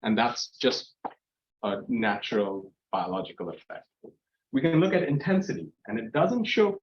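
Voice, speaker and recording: A man's voice: medium pitch, muffled recording, slight background noise. A man giving a guest lecture at a university seminar, explaining in a loose style with restarts along the way. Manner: explanatory, with frequent fillers and restarts. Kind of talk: academic seminar talk. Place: lecture room.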